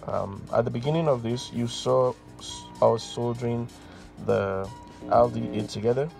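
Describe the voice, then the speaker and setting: Man demonstrating electronics, gravelly edge. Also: medium pitch, normal speed, a little mellow. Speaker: electronics channel host. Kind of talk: project demonstration voice-over. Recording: music behind the voice. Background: music, radio playing.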